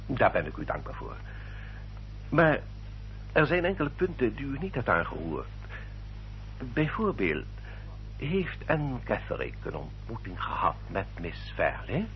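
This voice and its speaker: A man's voice: medium pitch, huge pitch swings. A male actor in a Dutch radio play, playing a courteous, controlling English baronet who speaks formally and insistently. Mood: polite, probing. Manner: formal, suave, measured and insistent. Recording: dull, noisy old radio drama recording.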